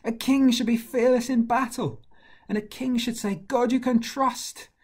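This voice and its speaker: A man voicing excitable, needy common people, calling out in singsong rhyme for a storybook tale. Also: medium pitch, a little slow, slightly rough. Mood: jubilant.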